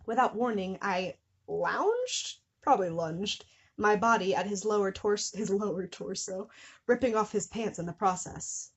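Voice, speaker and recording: A woman's voice: mid-range voice, expressive, a little slow, breathy edge. A woman hosting a comedy show, reading fan fiction dramatically with incredulous, giggly asides. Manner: breaks into giggles and incredulous commentary.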